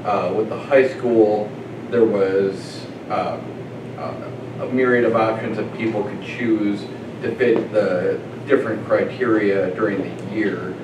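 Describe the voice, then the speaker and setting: Audience questioner, man, lowish voice, measured, echoey room with noise. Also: steady tone, highs cut off. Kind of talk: question from the floor. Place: event room at a community panel. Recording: echoey, distant, noisy.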